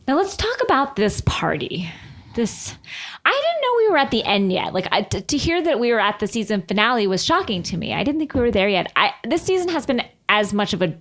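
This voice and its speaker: A woman's voice: on the high side, brisk. A woman co-hosting a podcast, chatting casually and comedically about reality TV with a sarcastic manner and mocking little imitations. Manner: animated, sarcastic, swears and mimics others. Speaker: podcast co-host.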